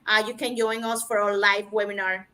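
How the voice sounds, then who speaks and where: A woman's voice: mid-range voice, fairly even intonation, moderate pace, sharp tone. A woman from Cuba co-hosting an online talk show, reading out panelist lists. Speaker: co-host and panelist. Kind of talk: casual talk show chat.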